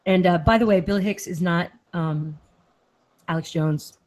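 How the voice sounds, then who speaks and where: A woman's voice: fairly low pitch, measured pace, darkish tone. A woman from Austin, Texas, hosting a casual live chat and reading viewer comments aloud in a chatty, easily sidetracked way.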